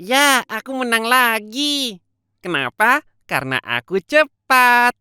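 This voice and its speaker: A woman's voice: a little high, lively intonation, takes it slowly, sharp tone, clean tone, no echo, faint music behind, heavily acted. Woman doing a bragging cartoon hare. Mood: boastful. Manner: bragging, self-satisfied. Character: boastful young male hare. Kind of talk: storybook cartoon voice.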